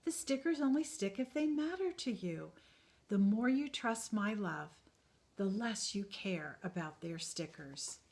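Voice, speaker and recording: Woman voicing a kind old bearded woodcarver, slow, hushed, tender, storybook. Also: mid-range voice, lively intonation.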